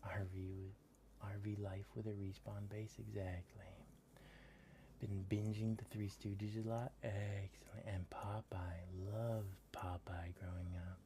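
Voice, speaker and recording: A man with a very deep voice talks almost entirely in a soft, breathy whisper. He takes his time and draws his words out at a slow pace. He chats with his viewers late at night, and a faint room hum sits in the background. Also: flat delivery. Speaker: streamer. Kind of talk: whispered ASMR chat with live viewers. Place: home at night.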